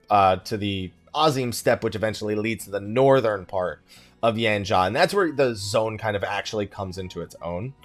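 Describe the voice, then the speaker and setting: A man hosting a let's play, talking in a casual, rambling, self-deprecating way. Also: fairly low pitch. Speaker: gaming video host. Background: game music.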